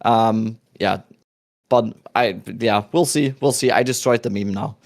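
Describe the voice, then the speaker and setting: A man in his thirties with a German accent, hosting a podcast in a relaxed, rambling chat with restarts. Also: on the low side, measured pace. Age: 30s. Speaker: podcast host. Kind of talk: casual chat.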